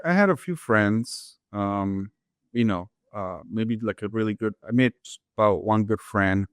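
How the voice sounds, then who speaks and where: A man's voice: lowish voice, no echo, studio quality. Earnest man. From Latin America. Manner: hesitant, many fillers, earnest. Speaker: guest, engineer. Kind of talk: interview answers.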